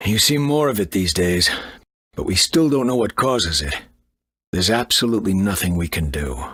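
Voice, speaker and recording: Very husky, blunt duck doctor. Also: male voice, low voice, animated, moderate pace, fairly bright tone, no room sound, studio quality, heavily acted. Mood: grave, blunt. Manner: matter-of-fact, grave. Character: blunt, gloomy duck doctor, middle-aged male. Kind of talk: video game character acting.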